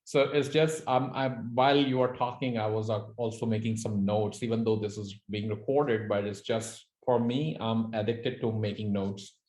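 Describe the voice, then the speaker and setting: A man's voice: fairly low pitch, fairly even intonation, moderate pace, few pauses, a little mellow. A Pakistani man whose first language is Urdu hosts a casual business interview in English with a Pakistani accent. He talks hesitantly, searching for words with many fillers. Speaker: host. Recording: echoey room.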